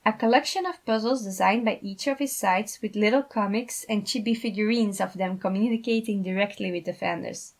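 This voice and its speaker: A woman narrates a story from a script at a middling pitch. She sounds like an author reading her own fan fiction aloud. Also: brisk, few pauses.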